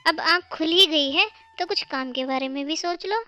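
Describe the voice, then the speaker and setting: A woman's voice: sits high, moderate pace, bright, forceful tone, no echo, faint music behind. A woman voicing an exasperated, nagging crow wife in a children's cartoon, complaining dramatically. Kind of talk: Hindi cartoon voice acting for children.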